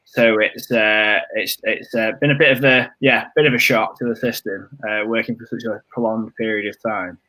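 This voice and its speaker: Bright-voiced host, rambling and chatty. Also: male voice, on the low side, steady tone, moderate pace, few pauses, low-quality recording. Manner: rambling, full of fillers. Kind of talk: casual stream hosting.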